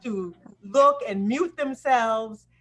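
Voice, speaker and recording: A woman's voice: a little high, takes it slowly. A woman hosting an informal question and answer session as a director and acting coach on a video call. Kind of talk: informal live Q&A talk. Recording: noisy video call with clicks.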